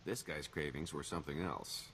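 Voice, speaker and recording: An actor playing a smooth-talking, self-assured con man in a video game, with wry, wheedling salesman patter. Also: rumbling low, normal speed, fairly bright tone, a little hiss or hum. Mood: sly, scheming. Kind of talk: video game dialogue acting.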